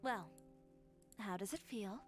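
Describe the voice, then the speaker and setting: A woman's voice: mid-range voice, takes it slowly, close to an everyday voice. Female actor as worldly young designer in a game: composed, candid, self-assured storyteller over a music bed. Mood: calm, reassuring. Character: assertive young woman fashion designer, poised, candid, worldly. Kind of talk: scripted video game dialogue. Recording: game audio with music behind. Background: background music.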